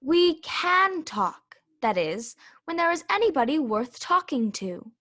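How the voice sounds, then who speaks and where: Woman as talking tiger lily, high voice, lively swooping pitch, haughty, bossy, children's storybook theater. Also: unhurried, full character voice. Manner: haughty, disdainful.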